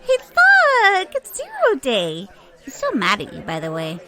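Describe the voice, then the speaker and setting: An actress playing a lively, teasing detective's sidekick in an audio drama, chatty and animated. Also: female voice, high voice, unhurried, full character voice.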